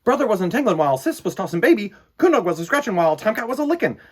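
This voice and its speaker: Guy doing kids storytime, kinda husky voice, super animated and theatrical. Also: high voice, very fast. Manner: theatrical, emphatic, swings between loud bursts and hushed asides. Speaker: host of a children's storytime. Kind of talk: animated read-aloud and chat to children.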